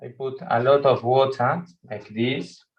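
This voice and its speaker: A man teaching watercolor painting in a live online demo, speaking halting with many pauses, on a video call. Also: medium pitch. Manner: halting non-native English with many pauses. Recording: slightly dull lo-fi stream audio.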